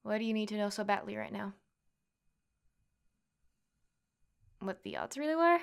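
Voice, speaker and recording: A woman's voice: medium pitch, expressive, fast talker, gravelly, clear, resonant tone. Woman acting a brash, cocky tsundere fighter girl. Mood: annoyed, bossy. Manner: brash, taunting, bossy, teasing. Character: tough, cocky tsundere young woman, MMA-fighting classmate and protector. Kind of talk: ASMR girlfriend roleplay acting.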